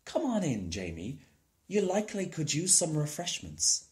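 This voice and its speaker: Man voicing a kindly shopkeeper woman with lilting, lively pitch. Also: normal speed. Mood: welcoming. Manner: folksy Irish turns of phrase. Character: kindly woman sweet shop keeper, warmly welcoming.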